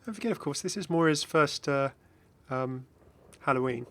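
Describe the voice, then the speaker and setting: A man's voice: fairly high pitch, moderate pace, fairly bright tone. A man giving casual, chatty commentary as host of a gaming video. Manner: chatty, frequently chuckling.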